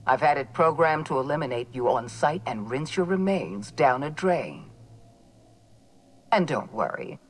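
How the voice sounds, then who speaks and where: An actress playing a cold, menacing female corporate official in a video game, speaking in a measured, formal, condescending way. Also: low-pitched, animated. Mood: contemptuous menace. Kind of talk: dramatic video game dialogue.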